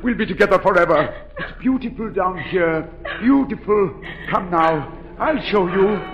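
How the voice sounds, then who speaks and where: An obsessed madman who coaxes and pleads in a fevered, theatrical 1940s radio style. The voice is fairly high and creaky, sweeping widely in pitch, with noise from the old broadcast. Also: expressive, a little slow, dull audio, faint music behind. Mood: feverish, adoring. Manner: coaxing, pleading, feverishly intense. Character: obsessed, masked madman luring a young woman underground. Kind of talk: 1940s radio drama acting.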